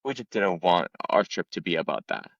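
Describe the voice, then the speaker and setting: A man with a very deep voice talks casually and thoughtfully, leaning on filler words. The recording is dry and a little muffled. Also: brisk, creaky, no echo. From Lebanon. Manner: relaxed and reflective, many "like" fillers. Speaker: podcast guest sharing travel stories. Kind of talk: casual conversation.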